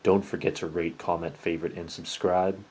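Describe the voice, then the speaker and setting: A man reading a scripted horror story in a formal, measured storytelling manner. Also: level tone, a little mellow, slight background noise. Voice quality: low, husky. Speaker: narrator of paranormal stories. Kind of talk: scripted narration of allegedly real horror.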